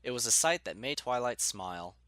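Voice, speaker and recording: Bright, animated male story narrator. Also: medium pitch, brisk, no room sound.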